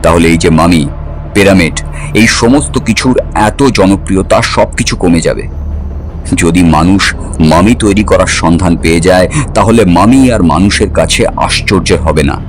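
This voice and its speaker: A man playing a calm, principled Indian adventurer in an audio drama, speaking in a measured, earnest way. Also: sits low, faint music behind. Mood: grave, earnest. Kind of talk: dramatised audio story acting.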